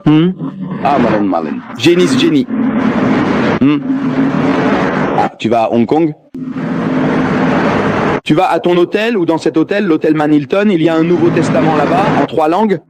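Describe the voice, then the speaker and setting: Male lecturer, slightly high voice, rhetorical and joking with the crowd, noisy recording with background hum. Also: lively intonation, normal speed, long pauses. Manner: rhetorical, questions the audience, jokes. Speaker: religious debate lecturer. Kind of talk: dubbed public lecture.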